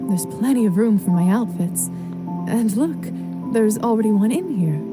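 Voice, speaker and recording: A woman's voice: mid-range voice, expressive, normal speed, over music, close to an everyday voice. An actress playing a refined, wry young woman vampire in a video game, composed and politely teasing. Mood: musing. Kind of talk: scripted video game voice acting.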